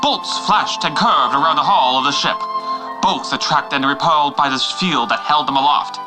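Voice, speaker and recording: A man's voice: a little high, normal speed, poor audio. A man narrating an adventure serial in a scripted, dramatic storytelling style. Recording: loud music behind. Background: loud music.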